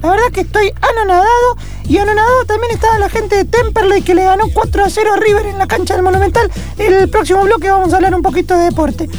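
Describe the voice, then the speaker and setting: A woman's voice: extremely high pitch, steady tone, fast talker. A woman playing a mock-astonished radio co-host in improvised comedy, with a Rioplatense Argentine accent. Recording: music clearly behind voice.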